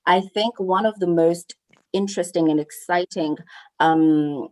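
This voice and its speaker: A woman's voice: on the low side, a little slow, darkish tone, rough recording. A woman from South Africa speaking as a panel guest, thoughtful and earnest, often repeating words as she searches for them. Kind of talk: casual online panel chat.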